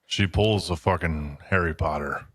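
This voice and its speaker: A man's voice: deep voice, moderate pace, a bit bright, no room sound. A man co-hosting a podcast in casual book chat, chiming in with blunt, sweary remarks. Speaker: podcast co-host.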